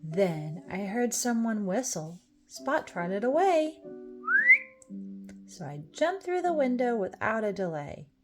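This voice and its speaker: A woman reading a rhyming children's storybook aloud, with expressive, deliberate phrasing. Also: medium pitch. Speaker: storybook reader. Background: music.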